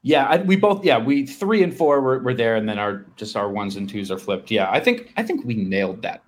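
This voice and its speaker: An American man in his thirties chatting casually as a sports podcast co-host, in a halting, conversational way. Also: medium pitch, very animated, a little hiss or hum.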